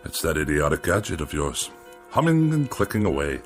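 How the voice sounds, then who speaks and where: An actor playing a pompous, irritable, condescending writer with precise, theatrical diction in a radio drama. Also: male voice, rumbling low, big pitch swings, moderate pace, soft background music. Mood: haughty, scornful. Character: pompous, scornful, irritable male writer who hates machines. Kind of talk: dramatic radio-play acting.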